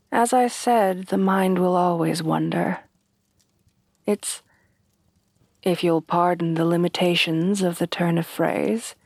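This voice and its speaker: A woman playing a refined, tender headless horsewoman bride in a soft-spoken ASMR roleplay, with formal, genteel phrasing. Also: fairly low pitch, no echo, clean studio sound, heavily acted. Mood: affectionate, calm. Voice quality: soft, breathy at times.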